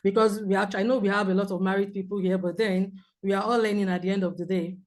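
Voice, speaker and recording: A woman counsellor with a loud voice, teaching a group in a clear, measured way with examples. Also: mid-range voice, little pitch movement. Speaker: premarital counsellor. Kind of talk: teaching a seminar talk.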